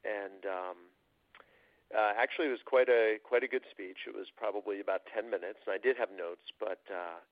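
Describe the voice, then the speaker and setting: A low, gravelly man speaking as an interview guest. He explains things thoughtfully, pausing on fillers. His voice comes through a thin, phone-like line with no room sound. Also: no room sound. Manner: reflective and explanatory, with frequent fillers. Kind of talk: casual interview talk. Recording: tinny phone-like line, dry.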